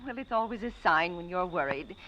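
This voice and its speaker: A meek, fretful character in a vintage radio drama. Her intonation is very lively, with a solicitous, hesitant manner. The recording is muffled with some background hiss. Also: lowish voice, fairly quick. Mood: concerned. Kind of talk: old-time radio mystery acting.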